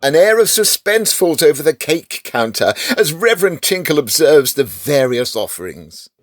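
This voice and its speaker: Animated English man narrating a radio drama. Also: fairly high pitch, moderate pace. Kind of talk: dramatic scripted comic narration.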